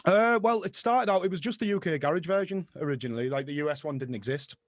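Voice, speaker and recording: A young man from Middlesbrough with a Teesside accent, a music producer chatting as a guest in an interview, rapid, self-mocking and quick to laugh. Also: a little high, lively intonation, no echo. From Middlesbrough, England. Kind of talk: casual interview chat.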